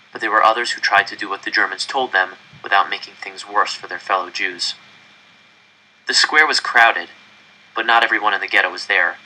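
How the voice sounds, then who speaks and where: A man reading a novel aloud as scripted narration, with measured, expressive first-person storytelling. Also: fairly low pitch, rough recording. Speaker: audiobook reader.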